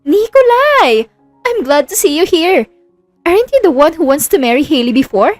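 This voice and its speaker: A woman's voice: high-pitched, very animated, normal speed, no echo, soft background music, voice pushed up. A woman playing a haughty, flirtatious former fiancée with a pointed, falsely sweet manner in an audio romance. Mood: gushing, pointed.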